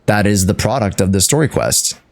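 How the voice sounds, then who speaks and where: A man talking off the cuff to his livestream chat, halting and repeating himself as he searches for words. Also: on the low side, animated, vocal fry. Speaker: streamer. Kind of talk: unscripted livestream commentary to chat.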